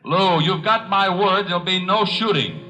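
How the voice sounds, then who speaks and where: Forceful detective calling out from afar. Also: male voice, high voice. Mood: firm, urgent. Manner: loud, deliberate calling. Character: male detective calling firmly to a holed-up gunman. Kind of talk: 1940s radio acting. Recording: distant old radio, music behind.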